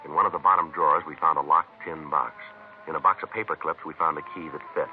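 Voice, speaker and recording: An actor playing a dry, methodical American police sergeant in an old radio crime drama, clipped, formal and businesslike. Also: male voice, a bass voice, quick pace, soft background music. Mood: deadpan narration. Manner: deadpan, terse, formal. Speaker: police detective, lead and narrator. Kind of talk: 1950s radio acting and clipped narration. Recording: noisy vintage radio recording, narrow band.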